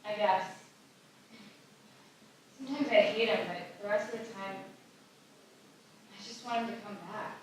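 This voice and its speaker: A woman playing a heartbroken, soft-spoken woman in her thirties who was recently dumped, in a live table read. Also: medium pitch, measured pace, long pauses, a little hiss or hum, close to an everyday voice. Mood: wistful, unsure. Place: live festival reading in an echoey room. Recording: very echoey hall, distant mic.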